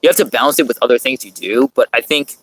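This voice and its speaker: A young man co-hosting a podcast, speaking earnestly in casual chat with halting restarts, outdoors. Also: medium pitch, fairly bright tone, low-quality recording. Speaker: podcast co-host. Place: outdoors on a front lawn.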